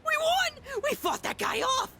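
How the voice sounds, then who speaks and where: A haughty young cult believer for a video game. The voice is high and bright, swooping theatrically, taunting and volatile. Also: female voice, expressive, measured pace, gravelly, faint music behind, exaggerated voice. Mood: triumphant. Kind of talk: English video game voice acting.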